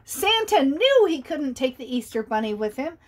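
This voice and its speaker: A woman reading a picture book aloud to children, chatty and animated, often pausing to ask her listeners questions. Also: high-pitched. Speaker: storyteller.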